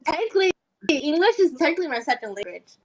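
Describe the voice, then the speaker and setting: A woman's voice: sits high, very animated, fast, low-quality recording. A young woman from California, whose first language was Vietnamese, chatting hesitantly on a gaming podcast with frequent fillers and giggles. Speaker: podcast guest and streamer. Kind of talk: casual chat.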